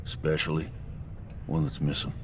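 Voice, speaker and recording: An actor playing a gruff, guarded veteran barman in a sci-fi mining town for a video game, talking in a folksy working-class way. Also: a bass voice, level tone, moderate pace, over music. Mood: grave, reluctant. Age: older.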